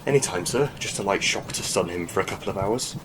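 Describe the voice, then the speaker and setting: Male actor as eager, deferential soldier, low voice, rapid hesitant delivery, audio drama. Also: natural voice. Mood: helpful, earnest. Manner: eager, slightly flustered, hesitant. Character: earnest, deferential male military subordinate. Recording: noisy ambience effects behind.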